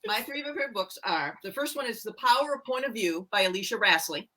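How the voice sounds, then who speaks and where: A woman's voice: medium pitch, animated, fast talker, sharp tone, slight background noise. A middle-aged woman, a romance author and co-host, chatting candidly and a little self-deprecatingly about writing books. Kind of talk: casual book chat.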